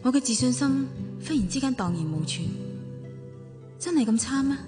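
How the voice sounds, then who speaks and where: A woman's voice: sits high, lively intonation, normal speed, long reverb tail, loud music behind the voice. A woman acting a proud but self-doubting mother in a radio drama, narrating and talking with a Hong Kong accent. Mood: dejected, self-doubting.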